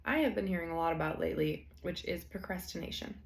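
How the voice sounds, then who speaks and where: A young woman hosting a solo podcast, slightly hoarse from allergies, talking in a casual, chatty way with frequent fillers. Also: on the low side, quick pace. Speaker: podcast host. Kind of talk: solo podcast talk on productivity.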